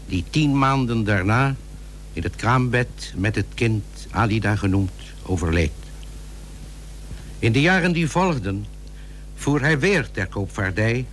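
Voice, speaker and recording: An actor playing a solemn chronicler, narrating a period radio drama in formal, stately, archaic language. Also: male voice, medium pitch. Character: solemn male chronicler narrating a seafarer's life. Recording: noisy old radio recording with hum.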